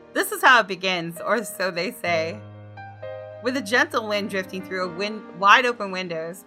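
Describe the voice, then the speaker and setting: A woman's voice: mid-range voice, pushed and bright. A woman reading visual novel text aloud and reacting casually and expressively as she plays. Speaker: gamer doing a visual novel let's play. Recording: music under the voice.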